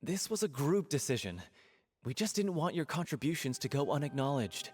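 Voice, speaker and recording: Courteous, lively-voiced theatre director. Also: male voice, on the high side. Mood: placating. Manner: formal, announcer-like, measured. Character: courteous, diplomatic male theatre director, calm and proper. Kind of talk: video game voice acting.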